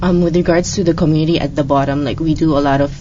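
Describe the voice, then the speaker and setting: A woman speaking as a youth advocate guest on a panel, thoughtful and pausing with many ums. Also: sits low, fairly even intonation, warm tone. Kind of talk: panel discussion, casual. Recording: dull lo-fi sound with faint hiss.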